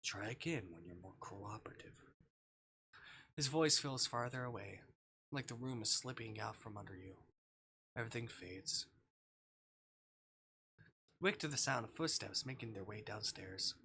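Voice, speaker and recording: Male streamer, dramatic visual novel narration, bright sharp voice, occasional stumbles, slight background noise. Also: mid-range voice, moderate pace, vocal fry, long pauses. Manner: stumbles over words and rereads them. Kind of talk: dramatic read-aloud of visual novel text on livestream.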